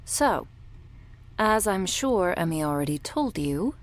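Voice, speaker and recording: A woman playing a cold, domineering medic in an ASMR roleplay, speaking in a breathy, condescending near-whisper with quiet menace. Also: on the low side, sing-song, takes it slowly. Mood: falsely soothing. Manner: condescending, menacing, coolly authoritative. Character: cold, sadistic, domineering woman medic running a captive operation.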